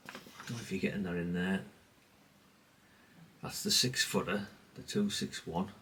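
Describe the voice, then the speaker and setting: A man's voice: sits low, fairly even intonation, moderate pace, fairly bright tone, distant mic, slight background noise. A man giving a casual, rambling, understated show-and-tell, speaking off the cuff. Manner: rambling, self-effacing, understated. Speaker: hobbyist presenter.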